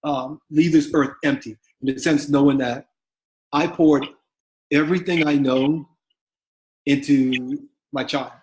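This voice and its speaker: A man's voice: a little high, steady tone, a little slow, a little mellow, rough recording. A middle-aged man speaking as an interview guest, a father reflecting earnestly with many restarts and fillers.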